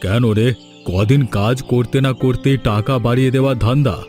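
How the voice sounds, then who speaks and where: A man's voice: mid-range voice, a little fast, warm tone, lightly acted. A man voicing a brusque, suspicious, stingy village master in a fairy tale cartoon, scolding and bossy. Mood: brusque, impatient. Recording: music behind the voice.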